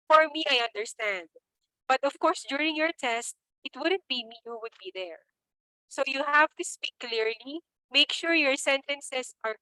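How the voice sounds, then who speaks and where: A woman teaching English in an online class, giving patient, clear feedback and repeating her points. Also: sits high, bright, forceful tone, no echo, poor audio. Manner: slow, clear corrective explanations with repeats. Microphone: video call.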